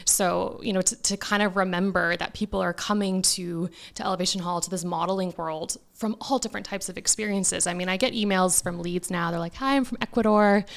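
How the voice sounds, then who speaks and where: A woman's voice: on the low side, steady tone, a little fast, vocal fry, few pauses, fairly bright tone. A Canadian woman chatting casually as an interview guest, telling things in a chatty way with quoted asides. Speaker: talent agent, interview guest.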